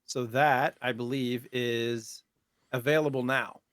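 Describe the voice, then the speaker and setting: Man, podcast co-host, casual game-news chat, mid-pitched voice, dry close recording. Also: steady tone, slow, a bit bright, smooth, dry recording.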